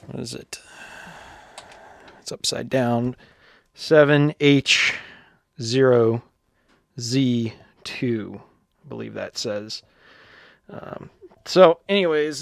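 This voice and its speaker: A man giving casual, hands-on repair narration as a repairer, thinking aloud in an informal, halting way with muttered asides. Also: medium pitch, creaky, no echo, studio quality. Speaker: vintage radio repairer presenting his own video.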